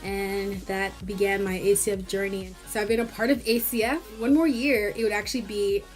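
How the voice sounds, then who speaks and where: A woman's voice: mid-range voice, steady tone, brisk, low-quality recording. Candid young woman from Cameroon. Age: young adult. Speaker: fellowship board member. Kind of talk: personal testimonial. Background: soft background music.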